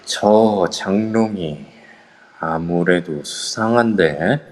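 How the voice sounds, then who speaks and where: A man's voice: low-pitched, extremely slow. A man voicing a determined young hero who speaks earnestly and flares up in an amateur audio drama. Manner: earnest, heated. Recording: long reverb.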